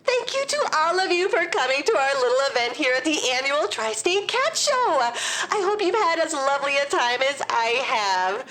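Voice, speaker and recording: A woman's voice: high-pitched, very animated, moderate pace, fairly bright tone. A woman playing a haughty, fussy mayor with a theatrical, snobbish manner in a comedy audio drama. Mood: falsely gracious. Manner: theatrical, snobbish, gushing falsely in public. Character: haughty, fussy female town mayor, disdainful of pets.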